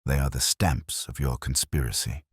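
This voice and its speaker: A man voicing a shrewd, calmly relentless detective in an audiobook mystery, speaking in formal, measured, incisive phrases. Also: rumbling low, breathy edge, no echo, clean studio sound, full character voice. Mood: stern.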